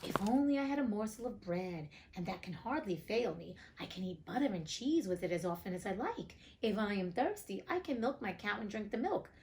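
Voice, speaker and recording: A woman's voice: mid-range voice, animated, fairly quick, few pauses, a little mellow, lightly acted, rough recording. A woman voicing a naive, easily pleased young fairy-tale man, musing earnestly and with self-satisfaction. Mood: content, eager.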